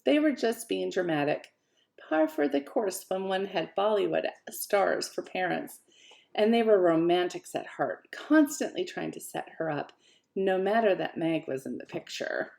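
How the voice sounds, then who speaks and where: Woman with a gravelly voice. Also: lowish voice, a little slow. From Canada. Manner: expressive, lively storytelling. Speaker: author reading her own novella. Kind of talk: audiobook-style fiction reading.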